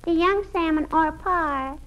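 A woman's voice: very high-pitched, a little slow, lo-fi sound. A woman playing a precocious, pert little girl with a prim know-it-all manner in 1940s radio comedy. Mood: smug.

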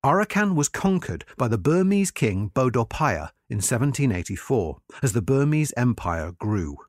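A man's voice: medium pitch, sing-song, normal speed, no echo, clean studio sound. A man reading a magazine article aloud as scripted, documentary-style narration.

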